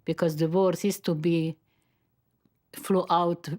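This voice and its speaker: An elderly woman in her nineties from Slovakia, with a Slovak accent, giving personal testimony in measured, halting phrases. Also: fairly low pitch, level tone, a little mellow. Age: 90s. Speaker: Holocaust survivor and memoirist. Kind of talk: personal testimony, partly read.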